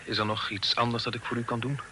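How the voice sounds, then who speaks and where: An actor in a radio play voicing a polite, formal, obliging gentleman traveller. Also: medium pitch, level tone, quick pace. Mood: courteous. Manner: polite, formal, well-mannered. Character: courteous, obliging gentleman traveller escorting a young lady. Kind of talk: Dutch radio play acting. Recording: noisy old radio recording, clicks.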